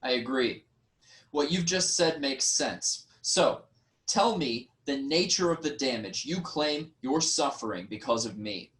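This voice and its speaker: A man playing a wronged, reasoning nobleman in a formal, measured reading of ancient Greek tragedy. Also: mid-range voice, lively intonation, a bit bright. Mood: reasoning, defensive. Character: wronged, reasoning nobleman, brother-in-law of the king.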